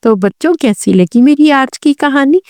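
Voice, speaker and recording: Female children's storyteller: higher voice, lively swings in pitch, expressive scripted reading, dry close sound. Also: moderate pace, no room sound. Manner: expressive, addressing children directly.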